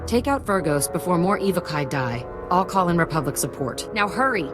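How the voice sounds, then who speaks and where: A woman plays a tough, vengeful young agent in a sci-fi game. Her voice sits on the low side and is blunt and urgent, with clear music behind it. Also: expressive, brisk, lightly acted. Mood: brisk, urgent.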